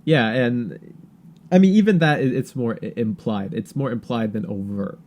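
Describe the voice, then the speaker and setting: A man co-hosting a podcast in casual political chat, halting and repeating words as he searches for his thoughts. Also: medium pitch, a little mellow. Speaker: podcast co-host.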